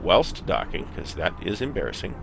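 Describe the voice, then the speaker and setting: A man giving casual gameplay commentary and software explanation in a hesitant, rambling way. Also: deep voice, very animated, normal speed, vocal fry, slight background noise. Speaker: gaming video host. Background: spaceship game ambience.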